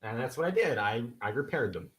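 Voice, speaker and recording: A man's voice: medium pitch, very animated, fairly quick, a bit bright, rough recording. An actor playing a smug, bullying repairman who shakes down kids, in an audio comedy sketch. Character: shady repairman, petty extortionist.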